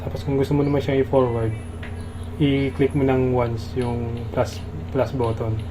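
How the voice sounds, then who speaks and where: A man's voice: medium pitch, level tone, a little slow, background noise. A man with a Filipino accent demonstrates a product step by step in a casual, instructional way.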